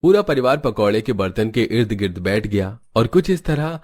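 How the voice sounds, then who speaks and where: Casting: male narrator for scripted cartoon storytelling, slightly low voice, faint background noise. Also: animated, moderate pace, a little mellow.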